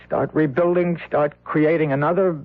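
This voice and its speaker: An actor playing a tender, earnest husband and weary survivor, soothing in a radio drama style. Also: male voice, fairly high pitch, fairly even intonation, normal speed, no echo, natural voice. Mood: solemn, hopeful. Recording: dry, tinny old radio recording.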